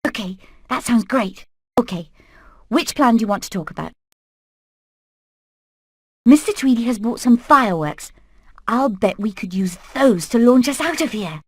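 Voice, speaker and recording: A woman's voice: on the high side, expressive, measured pace, long pauses, breathy edge, no room sound, clean studio sound. A woman voicing a determined hen leader in a video game, earnest and purposeful. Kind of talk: animated video game acting.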